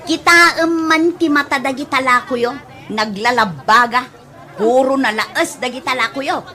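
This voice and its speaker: A woman's voice: high-pitched, a little slow, pushed and bright, a faint crowd. A woman playing a snooty, boastful, newly promoted supervisor in an Ilocano comedy radio drama, with an Ilocano accent. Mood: picky. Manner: haughty, fussy.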